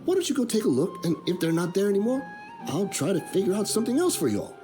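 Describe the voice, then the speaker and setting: A man's voice: high voice, very animated, quick pace, over music. A man acting a shifty, cornered informant in a video game, casual, defensive and wheedling. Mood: defensive, placating.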